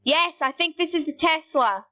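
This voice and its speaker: A woman giving rambling, excitable commentary over her gameplay, talking straight to her viewers. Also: high voice, moderate pace. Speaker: gaming YouTuber.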